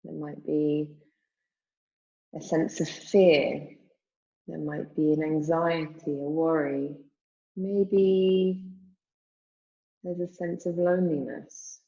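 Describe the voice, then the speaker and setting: Very slow, low-voiced woman guiding meditation. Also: creaky, dull audio. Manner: calm, soothing, measured. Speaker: yoga nidra meditation teacher. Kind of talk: guided meditation, spoken slowly with long pauses.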